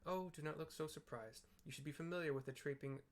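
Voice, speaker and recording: A man's voice: on the high side, steady tone, quick pace, lightly acted. A man voicing an eloquent, courtly young male diplomat from a fantasy game, with formal, wordy phrasing. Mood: courteous, pleased. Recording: clear background noise.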